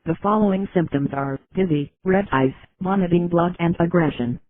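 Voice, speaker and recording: A man's voice: high-pitched, moderate pace, no room sound. A man's synthetic voice giving a formal, scripted government warning as an emergency announcer. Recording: tinny radio-like alert audio.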